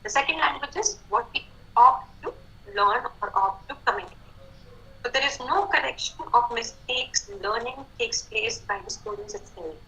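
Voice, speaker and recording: A woman's voice: a little high, lively intonation, moderate pace, vocal fry, bright, forceful tone. A woman giving a talk on language teaching methods, with a lot of conversational "you know" fillers. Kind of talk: lecture to educators. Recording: tinny phone-line sound.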